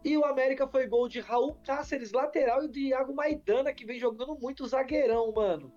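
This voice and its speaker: A man's voice: very high voice, brisk, a little mellow, muffled recording, faint music behind. A young Brazilian man co-hosting a football podcast, chatting in a slangy, anecdote-filled way. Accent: Brazilian Portuguese. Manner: slangy, storytelling banter. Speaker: podcast co-host. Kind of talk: casual sports podcast chat.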